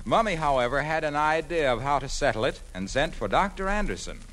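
A man's voice: fairly high pitch, expressive, a little fast, a bit bright, a little hiss or hum, low-quality recording. A man narrating a radio comedy in a scripted 1940s announcer style.

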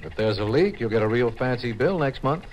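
An actor playing an easygoing utility inspector with an American accent, making casual, folksy small talk in an old-time radio drama. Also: male voice, mid-range voice, expressive, fairly quick, natural voice. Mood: chatty, casual. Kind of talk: 1950s American radio crime drama acting. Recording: hissy old radio recording.

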